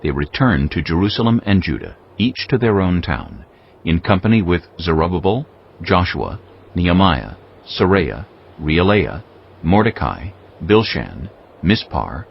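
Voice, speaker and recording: A man narrating scripture from a script in a measured, formal manner, as part of a dramatized audio reading. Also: low voice, big pitch swings, gravelly, breathy edge, dull audio. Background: music.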